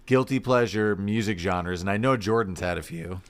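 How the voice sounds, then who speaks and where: A man's voice: fairly low pitch, normal speed. A man co-hosting a podcast in casual, meandering banter with friends. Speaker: podcast co-host.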